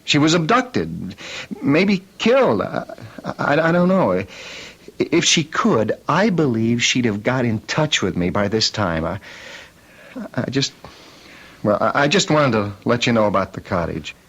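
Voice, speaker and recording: An actor playing a distraught, anxious husband in an old-time radio drama, halting and stammering as he speaks. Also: medium pitch, sing-song, a bit bright, breathy edge, heavily acted. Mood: anguished, pleading. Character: distraught husband fearing his missing wife was killed. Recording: muffled old radio recording.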